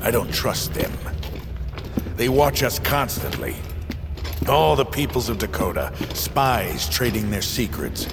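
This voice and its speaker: Older lowland laborer, portentous storyteller, bitter and proud, slow delivery, fantasy audio drama, long reverb. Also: male voice, animated, vocal fry. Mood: gruff, wary. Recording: long reverb, loud music behind.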